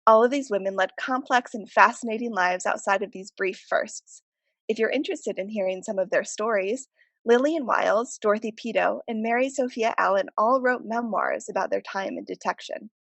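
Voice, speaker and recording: A woman reading scripted documentary narration in a clear, informative way. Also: medium pitch, normal speed, a bit bright, no room sound. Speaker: playwright. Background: music.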